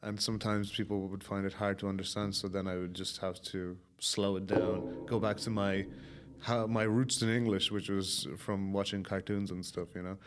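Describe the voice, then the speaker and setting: A young man from Ireland with a softened, somewhat Americanized Irish accent, telling his own story candidly and casually as a podcast guest. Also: low-pitched, little pitch movement, normal speed, hardly any pauses. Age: young adult.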